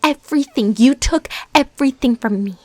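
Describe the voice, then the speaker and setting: A woman's voice: high-pitched, no echo. Intense, lovesick female ex-stalker, acted by a woman. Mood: bitter, anguished outburst. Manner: halting, pleading, emotionally intense, drops into whispers. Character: lovesick young female ex-stalker, defensive, bitter, unstable. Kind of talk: intimate dramatic audio roleplay.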